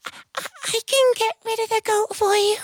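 Tiny squeaky little ant. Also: male voice, fairly even intonation, moderate pace, no echo, clean studio sound. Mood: eager, helpful. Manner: timid, modest, earnest. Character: teeny tiny polite, helpful little ant.

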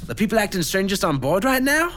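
A woman's voice: medium pitch, big pitch swings, quick pace, fairly bright tone. A woman playing a laid-back, shrewd spaceship passenger in a radio drama, drawling in hip slang. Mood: dismissive. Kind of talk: scripted radio drama acting.

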